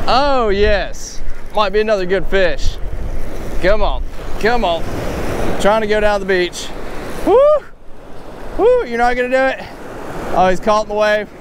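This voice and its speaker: A high-voiced man with a drawl narrating his fishing out on an open beach. He talks casually and gets excitable, whooping when a fish hits. Waves crash clearly behind him on an outdoor camera mic. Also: unhurried, long pauses. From Alabama. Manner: excitable, bursts into whoops and exclamations. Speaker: fishing vlogger. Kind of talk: casual on-the-spot fishing commentary. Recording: outdoor beach recording with clear surf noise. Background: surf and waves.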